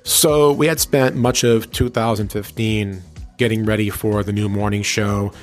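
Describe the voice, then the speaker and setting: A man's voice: lowish voice, fairly even intonation, unhurried, faint music behind. A man working as a radio show host, talking conversationally with a bit of showmanship. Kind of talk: conversational radio interview and reminiscence.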